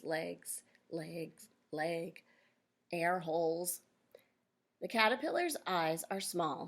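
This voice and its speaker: Female teacher for children's nonfiction read-aloud; lower-pitched than some but ordinary range, noticeable vocal fry, instructive asides to pupils. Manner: instructive, addresses children directly with questions and explanations.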